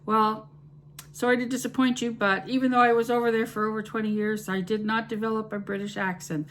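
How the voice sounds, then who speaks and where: A woman's voice: fairly high pitch, level tone, brisk. A middle-aged woman with a Canadian accent talks straight to her viewers in a loose, friendly ramble. She trails off and restarts as she goes. Faint background noise sits under her voice at home. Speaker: cooking channel host. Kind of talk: chatty vlog talk to camera.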